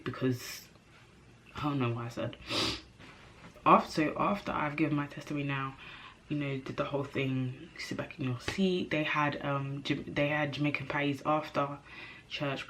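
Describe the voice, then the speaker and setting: Young girl vlogging, kinda congested, chatty and expressive, bit of hiss in the background. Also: rumbling low. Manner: chatty, animated, self-interrupting, slangy. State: stuffy nose from a cold. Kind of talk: casual chat to camera.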